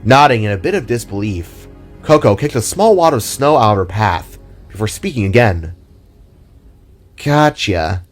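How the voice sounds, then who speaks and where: A man's voice: on the low side, very animated, normal speed. A man reading scripted narration for an audio story. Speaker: narrator of a fan audio drama. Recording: music under the voice. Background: music.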